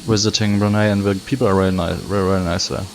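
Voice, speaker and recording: A young man, relaxed as he reads out flight checklist items while playing. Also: fairly low pitch, very fast, slight background noise. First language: German. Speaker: flight sim streamer. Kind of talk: casual gameplay commentary.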